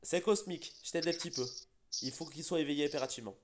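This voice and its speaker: A man's voice: fairly high pitch, quick pace. Congested man chatting about a game. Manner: rambling, hesitant, many fillers and restarts. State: a bit sick and congested. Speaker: gaming channel host. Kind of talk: casual gaming chat.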